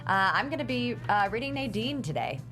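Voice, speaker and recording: A woman's voice: on the high side, lively intonation, a little fast. A woman actor speaking casually to an audience as part of a panel. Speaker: actor on a table-read panel. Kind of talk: casual self-introduction. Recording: music behind the voice.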